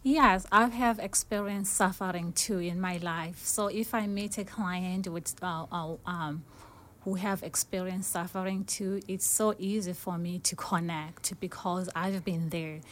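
A woman from Africa, a social worker answering a guest's questions in earnest, simple, halting sentences. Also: lowish voice, fairly even intonation, a bit bright. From South Africa.

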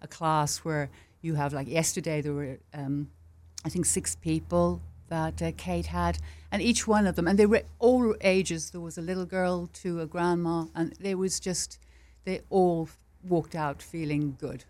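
A woman's voice: lowish voice, fairly even intonation, measured pace. A woman in her fifties with an Irish accent, talking casually about teaching art and laughing often. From Ireland. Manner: chatty, laughs often, warm and enthusiastic. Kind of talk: casual interview chat.

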